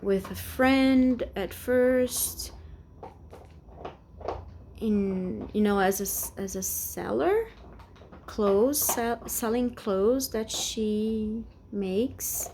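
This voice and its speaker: A woman in her forties from Brazil, speaking English with a Brazilian Portuguese accent and a halting, hesitant way of searching for words, in a rambling personal vlog recorded at home. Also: a little high, warm tone, slight background noise. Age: 40s. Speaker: vlogger. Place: home, indoors.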